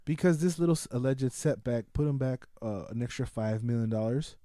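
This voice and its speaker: Male podcast co-host, very soft dark tone, mid pitch, dry close sound, opinionated casual banter with swearing. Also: brisk, no echo. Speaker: podcast co-host reviewing films.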